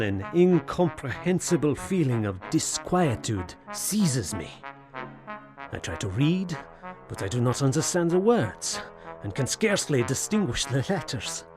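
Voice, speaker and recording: An actor playing a tormented, anxious French gentleman in an audio horror drama, speaking formally with hesitant, brooding, theatrical delivery. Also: medium pitch. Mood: dread. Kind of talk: audio horror drama acting, period literary diction.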